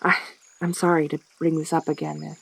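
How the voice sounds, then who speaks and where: A woman playing a lonely, possessive centaur girl in a soft-spoken audio roleplay, with a halting, stammering delivery. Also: sits low, level tone, vocal fry, slightly rough, heavily acted. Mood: sombre, tender.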